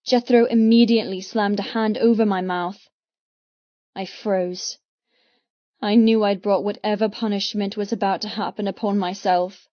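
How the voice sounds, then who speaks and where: A woman's voice: mid-range voice, steady tone, moderate pace, no echo, highs cut off. Woman narrating a dramatic audiobook, close and dry. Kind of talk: dramatic first-person scripted narration.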